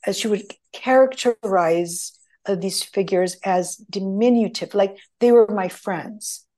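Middle-aged woman, greek first language, slow unhurried talk, lots of you knows and restarts. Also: medium pitch, mellow. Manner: rambling, many restarts and fillers. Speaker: guest. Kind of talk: conversational storytelling.

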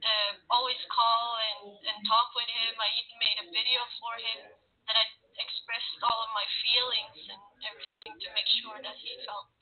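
A woman in her twenties from Sweden, sharing her family story as a guest in an earnest, slightly halting way over a video call. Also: fairly high pitch, level tone. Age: 20s. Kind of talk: personal interview. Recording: tinny phone-like video call.

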